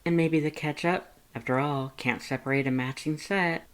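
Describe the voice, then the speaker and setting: Narrator doing a flirty, teasing monster man, pitched up. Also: fairly even intonation, normal speed, close to an everyday voice. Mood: playful. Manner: playful, coaxing, pet names. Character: flirty teasing male monster, affectionate and encouraging.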